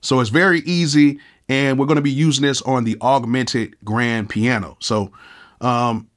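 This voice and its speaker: A man in his thirties with a cold and a nasal voice, casually walking through music software in a laid-back, slangy way from his home studio. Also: mid-range voice, fairly even intonation, moderate pace. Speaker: music producer and YouTube host.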